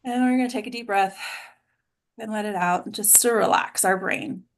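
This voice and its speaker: A woman with a creaky, mid-pitched voice hosts an online actors' group. She talks informally and encouragingly, like a coach guiding her students. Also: moderate pace, slight background noise. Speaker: host and acting coach. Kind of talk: casual hosting of an online actors' table read. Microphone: computer mic on a video call.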